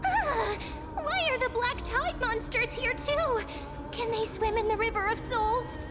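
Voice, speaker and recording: A woman's voice: extremely high pitch, a little slow, over music. An actress playing a bubbly, curious young girl companion in an anime game, with a perky, inquisitive delivery. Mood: alarmed.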